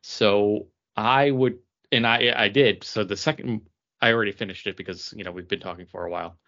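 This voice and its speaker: Male podcast co-host, low pitch, forceful bright tone, explanatory, casual chat, slightly dull lo-fi audio. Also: fairly even intonation, brisk.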